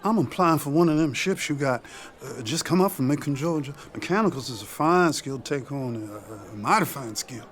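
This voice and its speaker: An actor playing a shy, humble young man with a Southern US accent, halting and deferential, in a period drama. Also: medium pitch, expressive, fairly bright tone, close to an everyday voice. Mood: nervous, humble.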